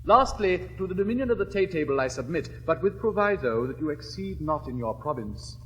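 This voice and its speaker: An actor playing an elegant, witty gentleman suitor in a classic stage comedy, speaking in a crisp, measured, declamatory way. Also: a little high, expressive. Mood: wry. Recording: reverberant old recording, faint hiss.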